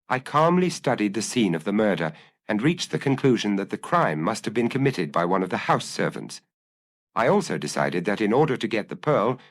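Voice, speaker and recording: A man voicing a proud, self-satisfied gentleman thief in an audiobook, boastful and grand in his telling. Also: fairly low pitch. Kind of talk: audiobook character acting.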